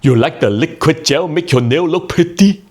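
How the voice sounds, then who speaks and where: A man putting on a harsh, clipped Cantonese-accented English voice, enunciating clearly and not through the nose. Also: on the high side, normal speed, darkish tone, pitched above the performer's own voice. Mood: confrontational. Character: mock Cantonese-accented older Chinese man, harsh, blunt.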